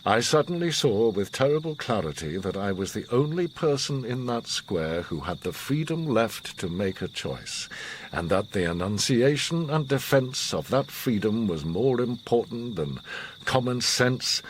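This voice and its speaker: An actor playing a grave, commanding, enigmatic older war survivor in a radio drama, speaking in a measured, solemn, philosophical way. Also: male voice, on the low side, animated, slight background noise. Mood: impassioned. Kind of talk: radio drama monologue and dialogue.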